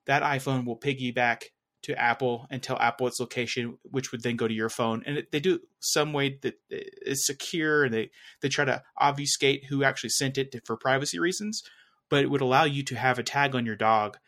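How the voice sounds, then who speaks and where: A man's voice: medium pitch, brisk, a bit bright. A young adult man co-hosting a podcast, talking casually about tech with lots of fillers and restarts. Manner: rambling, full of fillers and restarts. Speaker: podcast co-host.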